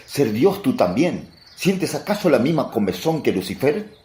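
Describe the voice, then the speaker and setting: A male actor plays a blunt, indignant apostle in a religious radio drama. His dark voice moves animatedly as he speaks emphatically. There is faint noise behind him. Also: medium pitch, sing-song, moderate pace, lightly acted. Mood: scornful challenge. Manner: emphatic, questioning.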